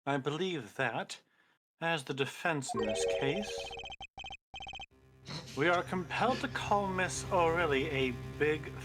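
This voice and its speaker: A man voicing a suave, cold male defense attorney with a smooth, precise, theatrical delivery. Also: a little high, expressive, slow, pauses often. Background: music.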